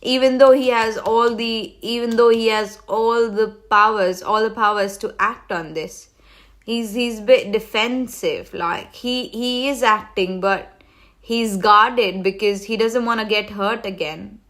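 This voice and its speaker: A woman's voice: fairly high pitch, fairly even intonation, a little slow, fairly bright tone. A woman hosting a live tarot reading, talking loosely with many fillers and restarts, a cold coming on. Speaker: tarot reader hosting a livestream.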